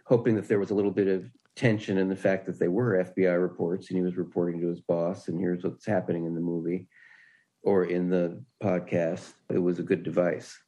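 A man answering interview questions in a halting, thoughtful way. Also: low voice, little pitch movement, dark tone. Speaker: podcast guest, writer.

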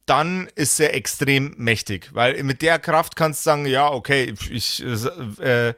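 A man's voice: medium pitch, sharp tone, no echo, clean studio sound. A man talking casually as a game master, explaining rules in colloquial language with blunt hypothetical examples. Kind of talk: casual tabletop character-creation discussion.

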